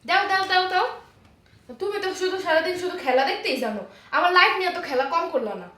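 A woman's voice: extremely high pitch, very animated, quick pace, bright, forceful tone, full character voice. A woman playing a feisty housewife in a home comedy skit, argumentative and sarcastic. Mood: sulky. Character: feisty bickering housewife demanding the TV remote. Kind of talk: amateur domestic comedy skit. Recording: roomy home echo.

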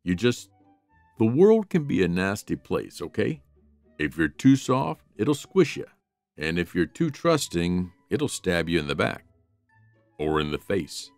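A man voicing a gruff, world-weary, protective big dog in a comic dub, with a dry, sarcastic, deadpan manner. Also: sits low, measured pace, no room sound, faint music behind, full character voice. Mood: stern, weary. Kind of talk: animated comic dub acting.

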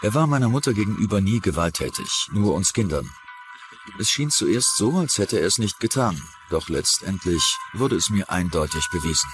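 A man's voice: deep voice, sing-song, normal speed. A man dubbing a candid grown son in a true-crime documentary interview. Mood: candid reflection. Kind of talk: dubbed documentary interview voice-over. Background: background music.

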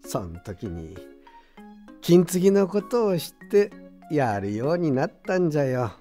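An actor as a gruff, kindly old craftsman. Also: a little high, very animated, a little slow, mellow, soft background music. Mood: kindly, reflective. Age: older. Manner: brusque old-man speech. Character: gruff kindly old male pottery mender. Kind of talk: Japanese audio drama acting.

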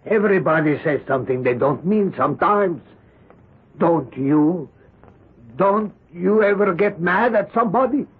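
An actor playing a nervous, defensive Polish immigrant bodyguard on trial, halting and stammering in 1970s radio drama style. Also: male voice, sits high, lively intonation, noisy background. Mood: flustered, defensive. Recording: muffled lo-fi 1970s radio recording.

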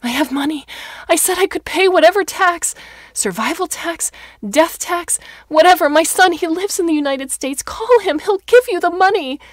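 A woman voicing a desperate old woman who shouts frantically as she pleads for her life in an audiobook story. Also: extremely high pitch, lively intonation, moderate pace, no room sound, clean studio sound, voice pushed up. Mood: desperate, frantic.

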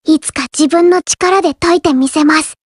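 Woman acting as young anime girl, very high voice, soft-spoken, shy, polite, earnest, dry close studio sound, mobile game lines. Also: normal speed, no room sound, close to an everyday voice. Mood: gently pleased. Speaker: game character voice actor.

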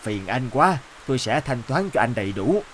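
A man's voice: mid-range voice, big pitch swings, moderate pace, a little hiss or hum, close to an everyday voice, low-quality recording. A man voicing an impatient, demanding middle-aged factory boss in a cartoon. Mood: polite, troubled. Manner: demanding, brusque. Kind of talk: animated cartoon dialogue.

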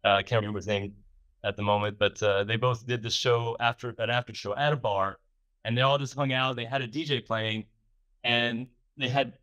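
A man's voice: mid-range voice, steady tone, brisk, sharp tone, muffled recording. A man in his forties from the southern United States, giving a casual, rambling, self-deprecating talk with many fillers at a symposium. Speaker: presenter at a symposium. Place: lecture room.

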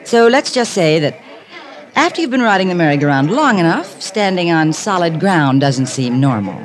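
World-weary, low-voiced party woman. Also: sing-song, moderate pace. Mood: wry, resigned. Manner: wry, resigned. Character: world-weary, self-mocking female party regular, drinking heavily. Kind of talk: 1950s radio drama acting. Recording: old 1950s radio recording with music.

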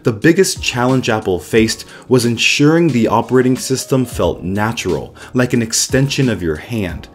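A man with a cold, his voice slightly nasal and raspy, narrating a tech explainer as the channel's host in a steady, explanatory way. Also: medium pitch, normal speed, hardly any pauses. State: sick with a cold. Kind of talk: scripted voice-over narration.

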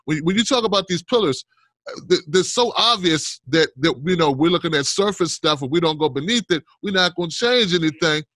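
A man's voice: fairly high pitch, animated, brisk, pushed and bright, no echo, poor audio. A middle-aged man hosting an informal political interview, talking conversationally and repeating phrases into a close studio microphone. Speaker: show host, interviewer. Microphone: desk studio microphone, close.

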